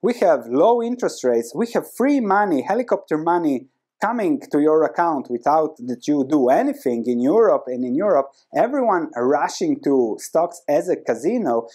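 Croatian guy in his 30s talking to camera, explaining stuff, chatty and animated, repeats words for emphasis. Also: on the high side, normal speed. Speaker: host of an investing video channel. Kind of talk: explanatory book summary to camera. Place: small indoor room with bookshelves. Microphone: lapel mic.

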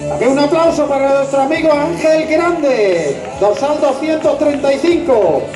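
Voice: sits high, lively intonation, measured pace. A finish-line announcer calls a live race over a PA, stretching names out emphatically and rallying applause. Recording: echoey PA at crowded event, music behind.

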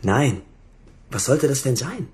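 An actor playing an irritable, suspicious husband in a radio drama, answering curtly and defensively. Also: male voice, medium pitch, very animated, slow, a little mellow. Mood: indignant. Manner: curt, indignant, suspicious. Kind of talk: German radio drama acting.

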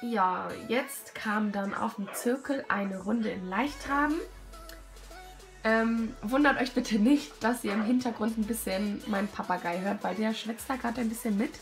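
A hoarse young woman with a cold, talking casually and self-critically over a video of her riding. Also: fairly high pitch, a little slow, soft background music. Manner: self-critical, chatty, many fillers. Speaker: rider commenting her own show-jumping test video. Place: at home, voice-over.

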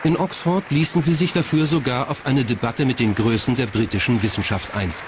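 A man's voice: medium pitch, brisk, a crowd in the background. A man reading scripted documentary narration. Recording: clear background noise.

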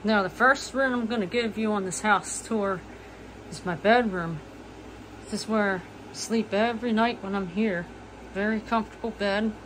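A woman's voice: medium pitch, moderate pace, fairly bright tone, a little hiss or hum, low-quality recording. Matter-of-fact young woman touring her home. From eastern United States. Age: 20s. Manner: plain, matter-of-fact, listing things as she walks. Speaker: vlogger. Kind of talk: casual house tour. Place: small manufactured home, indoors. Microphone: phone camera, front-facing then walking.

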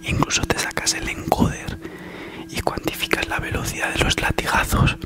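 Whispering Spanish man doing ASMR. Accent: Spain. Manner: slow soothing delivery with mock-reassuring teasing. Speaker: ASMR parody YouTuber. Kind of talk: whispered ASMR comedy monologue. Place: small living room at home, on a sofa.

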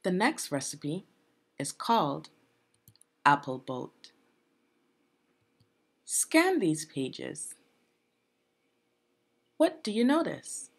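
A woman reading aloud to children as a teacher would, in a guided lesson style with deliberate phrasing. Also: low-pitched, huge pitch swings, creaky, breathy edge. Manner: deliberate teacherly phrasing, asks the listener questions. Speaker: education consultant reading aloud.

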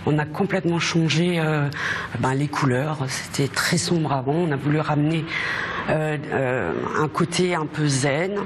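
Female restaurateur, middle-aged, informal interviewee, pausing to find words, clear ambient noise behind. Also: low-pitched. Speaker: restaurant co-owner. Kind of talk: casual interview answers. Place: restaurant dining room.

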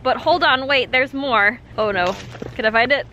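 A young woman in her twenties vlogs to a camera held at arm's length, chatty and giggly. Her voice sits high. A clear hum of noise runs behind her. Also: normal speed, pushed and bright, dull audio. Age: 20s. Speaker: vlogger. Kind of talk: casual vlog chat to camera. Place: kitchen indoors, then outdoors and in a car. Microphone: handheld camera at arm's length.

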